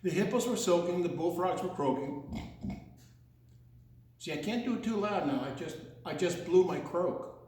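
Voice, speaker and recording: A man's voice: high-pitched, lively intonation, moderate pace, long pauses, a little mellow. A man telling a rhyming children's story playfully, stretching words into singsong and dropping in chatty asides and animal noises. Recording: very echoey room.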